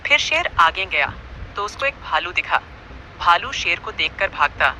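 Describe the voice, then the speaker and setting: A man's voice: sits high, big pitch swings, moderate pace, bright, forceful tone, slight background noise, poor audio. A man narrating a scripted animal fable as a storyteller.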